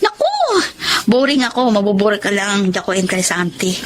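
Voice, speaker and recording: A woman's voice: medium pitch, brisk, a little hiss or hum, natural voice. A woman with a Filipino accent playing a bold, teasing sex worker in a romantic radio drama. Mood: playful, coy. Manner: coy, teasing, giggly, slangy. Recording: music bed under the voice. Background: loud background music.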